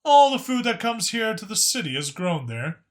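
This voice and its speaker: A man's voice: sits high, big pitch swings, normal speed, pitched above the performer's own voice. A man voicing a talkative alien dragon informant who explains things knowingly and with emphasis in an audiobook story. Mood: explanatory, animated.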